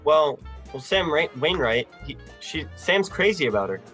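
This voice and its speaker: A man's voice: fairly high pitch, big pitch swings, moderate pace, a bit bright, faint music behind. A young man playing an earnest, frustrated small-town man in 1940s radio drama style, folksy and stammering. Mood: wry, evasive.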